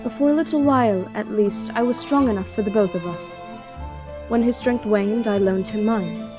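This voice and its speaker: An actress playing a devoted, strong-willed young princess in an audio drama, speaking and narrating in a measured, earnest, solemn way. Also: female voice, medium pitch, over music, close to an everyday voice. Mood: wistful, grieving. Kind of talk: audio drama acting with first-person narration.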